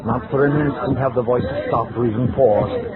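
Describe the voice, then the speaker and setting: A man's voice: mid-range voice, steady tone, fairly quick, over a noisy crowd, lightly acted. An actor playing a cold, precise, increasingly tormented scholar who narrates his murder journal in formal, self-important diction in an old radio drama. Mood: suffering, oppressed. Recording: vintage radio, narrow band, music behind.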